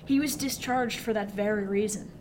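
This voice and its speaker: A woman's voice: medium pitch, normal speed, darkish tone, slight background noise, lightly acted. A woman playing a seasoned male merchant sailor in a radio drama, wry and confiding, with old-fashioned nautical speech. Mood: frank, reassuring. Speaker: actor.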